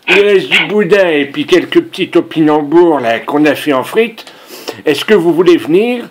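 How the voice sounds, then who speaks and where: A man's voice: on the high side, lively intonation, unhurried. A man voicing a pushy, rambling, naive old grandfather in a prank phone call. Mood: pleading, insistent. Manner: insistent, rambling, repeats himself.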